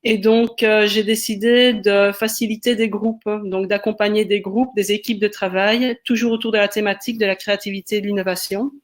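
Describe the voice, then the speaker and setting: A woman from Liège with a Belgian French accent, introducing herself on a webinar in a measured, explanatory way with many hesitations. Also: mid-range voice, level tone. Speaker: guest, sociologist and entrepreneur. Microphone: video call.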